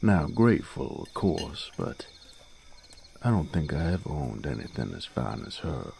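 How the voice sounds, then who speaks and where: A man playing a plain-spoken farm boy turned knight in a fantasy audio drama, gruff but tender, with a folksy rustic drawl. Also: sing-song, unhurried, a little mellow, a little hiss or hum, full character voice. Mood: humble, musing. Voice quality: very deep, gravelly.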